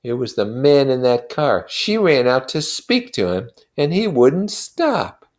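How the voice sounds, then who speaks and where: Man acting a brooding, grief-dazed husband, halting and muttering. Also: medium pitch, big pitch swings, voice pushed up. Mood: grimly certain. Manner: slow, muttering, halting, emphatic. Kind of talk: dramatized novel reading.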